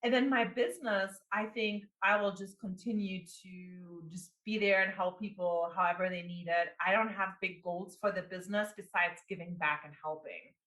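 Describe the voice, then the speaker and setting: Bright-voiced woman in her forties. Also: medium pitch, steady tone, moderate pace, hardly any pauses, echoey room, low-quality recording. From immigrant. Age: 40s. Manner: rambling, with many fillers and restarts. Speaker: guest, business coach and marketing executive. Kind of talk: casual interview talk.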